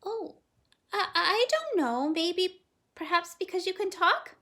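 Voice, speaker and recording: A woman's voice: very high-pitched, animated, takes it slowly, sharp tone, voice pushed up, full character voice. Woman doing a fearful little girl. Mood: timid. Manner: small, hesitant and whimpering. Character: timid, fearful ten-year-old girl. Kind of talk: storybook read-aloud.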